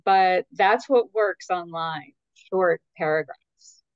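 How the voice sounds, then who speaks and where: A woman answering as an expert guest in a conversational, measured and tentative way, qualifying her points. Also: lowish voice, clear, resonant tone, dry recording, lo-fi sound.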